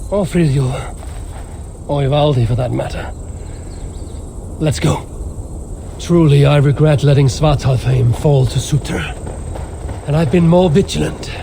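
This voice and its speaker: An actor playing a terse, imperious Norse god on a quest in a video game, wry and gruff. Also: male voice, on the high side, warm tone, slight background noise. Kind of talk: video game dramatic acting. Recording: game music behind the voice.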